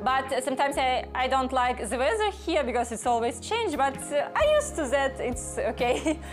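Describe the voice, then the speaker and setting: A woman's voice: sits high, expressive, moderate pace. An eighteen-year-old woman from Ukraine with a Ukrainian accent, speaking hesitant English with many fillers as she tells her story in an interview. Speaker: football referee. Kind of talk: interview testimony. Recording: music underneath.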